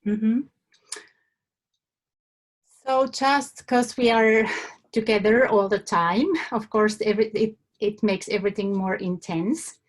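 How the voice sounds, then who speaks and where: Woman from Finland, interviewee, halting and thoughtful, unhurried delivery. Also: medium pitch, fairly even intonation. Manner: hesitant, many fillers and restarts. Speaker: survey respondent. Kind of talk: hesitant answers in an interview.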